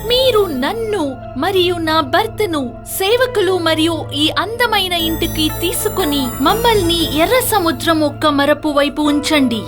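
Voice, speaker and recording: A woman voicing a jealous, scheming queen in a children's fairy tale, slow and commanding. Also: extremely high pitch, expressive, music in the background, pitched above the performer's own voice. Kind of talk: children's storybook character voice.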